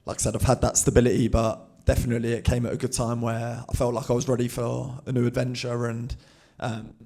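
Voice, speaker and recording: A man's voice: medium pitch, little pitch movement, a little fast, creaky, mellow. A man chatting casually about settling into a new club. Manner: chatty, laughs easily. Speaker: footballer guest. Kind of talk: casual interview answers.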